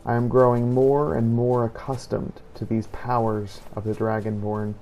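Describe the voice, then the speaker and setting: A man narrating a roleplay game journal in character, in a measured, brooding storytelling manner. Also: on the low side, dark tone, low-quality recording. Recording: clear background noise.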